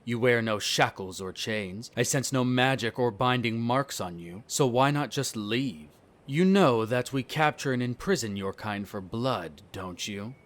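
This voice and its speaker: A man acting a haughty, bitter centuries-old vampire noble in an audio roleplay, speaking in a formal, measured, condescending way. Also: medium pitch, animated, close to an everyday voice. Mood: cold, probing. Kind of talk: scripted roleplay audio drama monologue.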